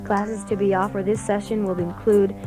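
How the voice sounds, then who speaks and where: A woman's voice: medium pitch, little pitch movement, moderate pace. Youthful American woman announcing over guitar music. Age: teens. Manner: novice, careful reading. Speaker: public service announcer. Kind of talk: scripted announcement read. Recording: old radio tape outtake over music.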